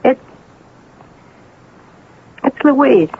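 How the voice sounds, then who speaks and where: A woman's voice: sits high, animated, drawn-out words, creaky, full character voice. An actress playing a domineering, self-assured, scheming woman in an old-time radio drama, speaking with emphatic, ominous insistence. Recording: muffled, noisy old radio broadcast.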